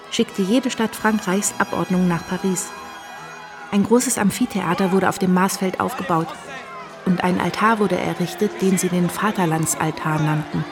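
An actress playing a candid young wife, recounting revolutionary days plainly in a radio drama. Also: female voice, mid-range voice, close to an everyday voice. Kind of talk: radio drama first-person narration.

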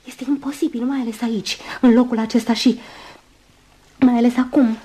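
A woman's voice: a little high, fairly even intonation, warm tone, lightly acted. An actress playing an anxious, devoted woman, earnest and pleading, in a Romanian radio play. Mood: worried, pleading. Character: anxious, devoted, self-sacrificing woman helping the doctor. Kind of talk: Romanian radio theatre acting.

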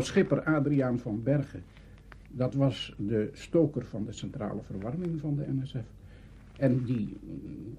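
Male raconteur. Gravelly, dark-toned and conversational, with faint noise on the recording. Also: medium pitch, dull audio. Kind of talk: conversational anecdote telling.

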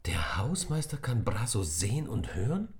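A high, fretful schoolboy's voice, put on by a man reading a storybook. He talks fast and urgently, the pitch jumping around as he frets. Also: animated, a little mellow, lightly acted. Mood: upset. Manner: breathless and urgent, whispering at times. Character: excitable schoolboy, anxious but determined.

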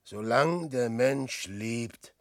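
A gravelly, despairing old wanderer. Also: male voice, medium pitch, animated. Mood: musing. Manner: slow, declamatory verse, swinging from loud outbursts to hushed murmurs. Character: Peer, an aging despairing wanderer facing death. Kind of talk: classic German radio play acting.

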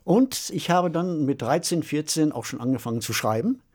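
A man's voice: fairly high pitch, lively intonation, normal speed, a little mellow. Man in his sixties co-hosting a podcast. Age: 60s. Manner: storytelling, explanatory. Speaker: podcast co-host; science fiction author. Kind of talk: conversational podcast presenting.

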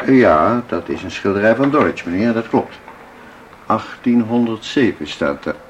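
A man's voice: fairly low pitch, lively intonation, unhurried, dull audio, a little hiss or hum. An actor playing a deferential, easily alarmed museum attendant in a radio drama, repeating his words. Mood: polite, puzzled.

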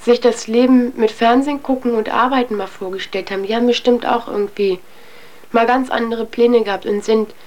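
A woman's voice: fairly high pitch, fairly even intonation, a little fast, a little mellow. A young adult woman giving a candid personal account as an interviewee, speaking in a reflective, hesitant, colloquial way.

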